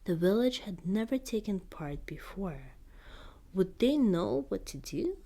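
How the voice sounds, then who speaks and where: A woman reading a children's storybook in ASMR style, soft-spoken and deliberate, often slipping into a whisper. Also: medium pitch, very animated. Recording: clear background noise.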